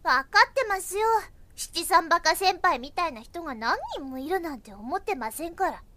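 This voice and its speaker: A woman's voice: very high voice, animated, normal speed, bright, forceful tone, dry recording, full character voice. A woman playing a polite, easily flustered younger schoolgirl in a romantic comedy audio drama. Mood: flustered, earnest. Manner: polite junior speech.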